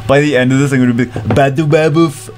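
A man in his thirties with a congested, stuffy voice, chatting casually and excitably as a gaming streamer while he rambles and repeats himself, recorded on a headset in a small room at home. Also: medium pitch, quick pace, slight background noise.

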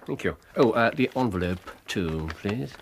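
Brilliant English sleuth: aloof, precise, teasing, very varied pitch, slight hiss behind. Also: male voice, expressive, unhurried, gravelly. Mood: musing, brisk. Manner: precise, musing, dryly amused, hums to himself. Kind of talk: radio drama acting.

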